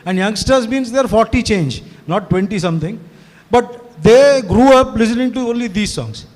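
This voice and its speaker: A man's voice: sits high, animated, moderate pace, a little mellow. Sixty-year-old man, talkative, echoing hall. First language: Tamil. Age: 60s. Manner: rambling, fluent, mixes Hindi and English, frequent "you know". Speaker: event organizer and speaker. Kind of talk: conversational talk to an audience. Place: live event venue. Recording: long hall reverb.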